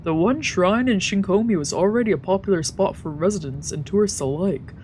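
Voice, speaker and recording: A woman's voice: medium pitch, fairly quick, a little mellow, slight background noise. A woman, a streamer narrating a visual novel in a let's play. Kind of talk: let's play, narrating and voicing translated lines.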